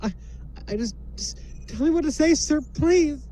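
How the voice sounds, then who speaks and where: A woman playing a frightened, pleading youth in an audio drama, halting, submissive and near tears. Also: sits high, expressive, warm tone. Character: frightened, pleading youth under interrogation, meekly obedient.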